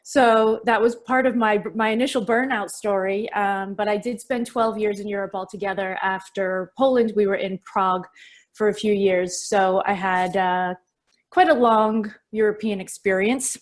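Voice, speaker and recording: A woman's voice: mid-range voice, fairly even intonation, normal speed. Chatty woman presenting on a video call. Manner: chatty and enthusiastic, addresses the audience directly. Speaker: burnout speaker presenting a webinar. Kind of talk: lively webinar talk to remote attendees. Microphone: computer mic on a video call.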